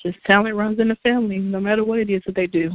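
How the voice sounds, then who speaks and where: A woman's voice: mid-range voice, fairly even intonation, brisk, no room sound, faint music behind. Rambling woman interviewer, lo-fi sound. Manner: rambling questions with many fillers. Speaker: interviewer host. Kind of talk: casual interview questions. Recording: dull lo-fi, dry.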